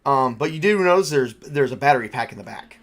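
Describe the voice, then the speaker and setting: Man, game master, casual narration, joking, conversational, medium-pitched voice. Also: expressive, quick pace, fairly bright tone.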